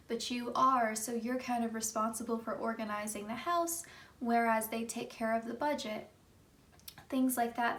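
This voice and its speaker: Tarot reader, woman, a bit hoarse, fairly high voice, chatty and filler-heavy, mic set back a little. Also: distant mic. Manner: chatty, many fillers like "you know". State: hoarse from just waking up. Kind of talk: pick-a-card tarot reading.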